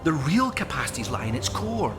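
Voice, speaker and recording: An actor playing an obsessed, smug mad scientist in a video game, with a Scottish accent and a theatrical, grandiose manner. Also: male voice, on the high side, sing-song, brisk, music in the background. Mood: fascinated, lecturing. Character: obsessed, smugly excitable male mad scientist. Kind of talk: dramatic game cutscene acting.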